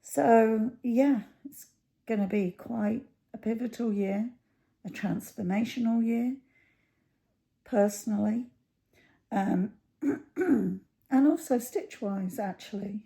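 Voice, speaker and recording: A middle-aged woman with a croaky voice, chatting casually to camera at home, rambling with many ums and pauses. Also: a little high, dark tone. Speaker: cross stitch YouTuber.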